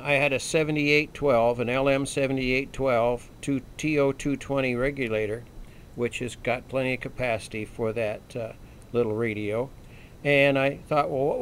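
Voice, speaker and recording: A man giving a casual, unhurried hands-on repair walkthrough, thinking aloud in a folksy way like a hobbyist at his bench. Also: mid-range voice, a little hiss or hum. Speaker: electronics hobbyist demonstrating a radio repair. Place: small indoor electronics workbench.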